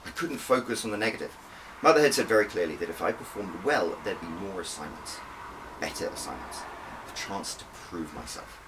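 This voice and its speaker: Low-voiced man narrating a novel, faint hiss. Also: expressive, brisk, distant mic. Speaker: author reading own audiobook. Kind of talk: scripted first-person dramatic narration.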